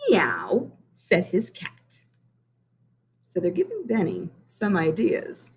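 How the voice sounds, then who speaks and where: Slow, expressive woman teacher. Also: on the low side, slight background noise. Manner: slow and expressive, pausing to count coins. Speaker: primary math teacher. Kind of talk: children's read-aloud lesson.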